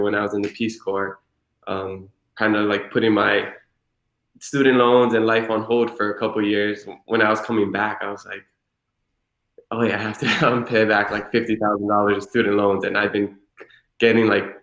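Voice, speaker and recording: A man in his twenties from Hilo, Hawaii, a guest telling his story in a relaxed, rambling way full of "you know" and "like". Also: fairly low pitch, moderate pace, echoey room, rough recording. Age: 20s. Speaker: interview guest. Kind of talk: casual conversational storytelling.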